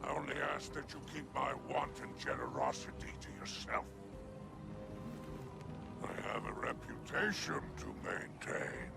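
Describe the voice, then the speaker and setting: A sly alien crime lord from a video game. The voice is heavily gravelly and theatrical, swinging widely in pitch. He sounds pompous and self-important, with music playing behind him. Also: very animated, unhurried, heavily acted. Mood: smug. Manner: pompous, theatrical, florid wording. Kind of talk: video game character acting.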